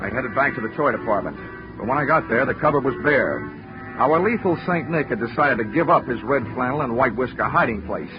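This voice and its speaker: A man's voice: mid-range voice, expressive, brisk, lightly acted. An actor playing a dry, wisecracking male investigator narrating his case in hardboiled 1940s radio style. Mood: wry. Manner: dry, wry, assured. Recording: muffled 1940s radio broadcast recording. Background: music.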